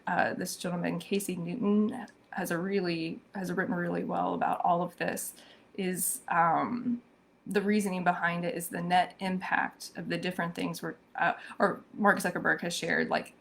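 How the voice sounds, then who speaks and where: A hoarse woman hosting a live-streamed social media commentary, thoughtful and full of ums and restarts. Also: fairly low pitch. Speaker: social media show host.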